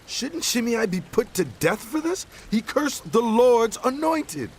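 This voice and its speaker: An actor playing a fierce, vengeful warrior in a dramatized audio Bible. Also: male voice, very high voice, big pitch swings, slow pace, slight background noise, heavily acted. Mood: indignant.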